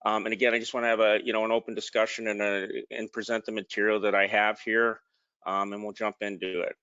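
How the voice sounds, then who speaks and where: A man's voice: fairly low pitch, level tone, quick pace, sharp tone, clean tone, dry recording, muffled recording. A man presenting a technical slide talk in an informal way, with frequent ums and restarted words. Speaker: product manager presenting.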